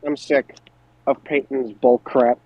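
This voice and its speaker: A man's voice: medium pitch, level tone, takes it slowly, muffled recording, very noisy. A man co-hosting a podcast, speaking casually and informally as he discusses wrestling. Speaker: podcast co-host.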